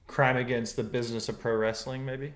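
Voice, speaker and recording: A man hosts a podcast with playful, hype-man introductions. His voice sits at an ordinary middle pitch. Between intros he settles into easy, joking banter with his co-hosts. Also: flat delivery, fairly quick, fairly bright tone. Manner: playful, hypey announcer-style intros. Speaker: podcast host. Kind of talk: casual podcast hosting.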